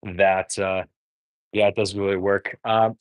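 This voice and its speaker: A man's voice: low-pitched, little pitch movement, measured pace, dry recording, highs cut off. A man, chatting frankly while cooking on a livestream, full of fillers and restarts. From born in Korea, raised in the US. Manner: rambling, filler-heavy, frank. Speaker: dating coach host.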